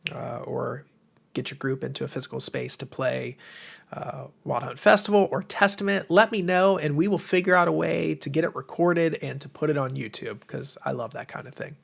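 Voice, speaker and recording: An American man with a tired, slightly hoarse voice chats casually and enthusiastically as the host of a livestream, rambling informally to viewers. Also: on the high side, steady tone, normal speed. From United States. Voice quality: tired, strained voice. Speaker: livestream host, game publisher.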